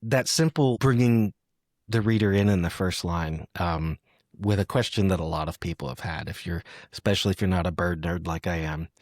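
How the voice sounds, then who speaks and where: A man hosting a poetry podcast, talking and reading in a reflective, halting conversational way. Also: deep voice, a little mellow, dry recording, studio quality. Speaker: podcast host. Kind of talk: conversational poetry interview with read-aloud poems.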